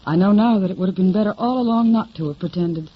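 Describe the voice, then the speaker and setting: An actress playing a plucky, stubborn young singer in an old radio drama, earnest and confiding with a wry edge. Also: female voice, medium pitch, fast talker, breathy edge, natural voice. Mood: regretful confession. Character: plucky, stubborn young woman singer seeking showbiz work. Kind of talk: 1940s radio drama acting and narration. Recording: muffled old radio broadcast, music behind.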